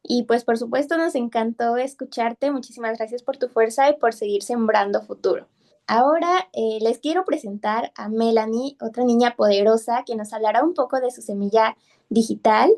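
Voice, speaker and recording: A woman's voice: a little high, normal speed, a little mellow. A woman hosting, thanking and introducing speakers in a friendly presenter style. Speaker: event host. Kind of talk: formal-friendly guest introduction.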